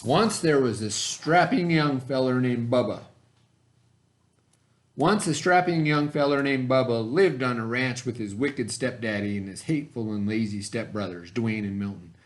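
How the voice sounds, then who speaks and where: A middle-aged man reading a picture book aloud to a class in a folksy storybook manner, pitching his voice up for the characters. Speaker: teacher.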